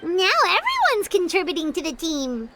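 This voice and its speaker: A woman's voice: extremely high pitch, wildly swooping pitch, moderate pace, noisy background, heavily acted. A woman voicing a small, chatty female fairy companion in a video game, who talks about herself in the third person. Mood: curious. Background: game music.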